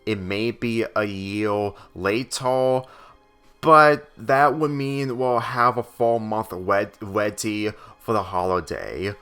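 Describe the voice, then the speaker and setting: An encouraging young son from a story, read out by a man, earnest and hopeful in a lowish voice. Faint music plays underneath. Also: takes it slowly, fairly bright tone, clean tone.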